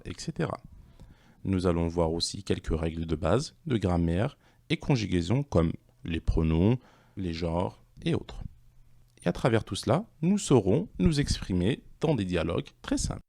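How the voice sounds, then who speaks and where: A man presenting an online language course, reading questions and answers in a measured, explanatory way. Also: low-pitched, creaky, mellow.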